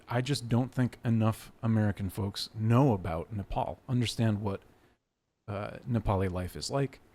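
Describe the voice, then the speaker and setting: A young man hosting a podcast from his webcam setup at home. He talks in a chatty, joking way, rambling with fillers, and his voice sits a little low. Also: a little mellow. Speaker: podcast host. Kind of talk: casual interview chat.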